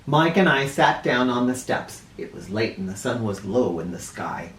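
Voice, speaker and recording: A man reading a children's story aloud as a storyteller, in an animated, theatrical way with drawn-out emphasis. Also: mid-range voice, a little hiss or hum. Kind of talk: expressive storybook read-aloud. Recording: roomy echo, distant mic.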